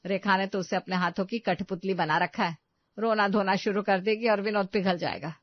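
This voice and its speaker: Casting: woman as plaintive elderly grandmother, tender family storybook drama, medium pitch, dry recording. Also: steady tone, moderate pace, dry recording, highs cut off, natural voice. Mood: hurt, weary. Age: older. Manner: plaintive, motherly. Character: hurt, tender old grandmother and mother.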